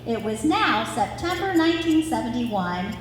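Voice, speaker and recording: Very echoey hall, noisy recording, woman in her forties, slightly high voice, sentimental family narration, informal asides. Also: sing-song, measured pace. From Ohio, United States. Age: 40s. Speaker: daughter reading family story at anniversary event. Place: large reverberant room at a live gathering.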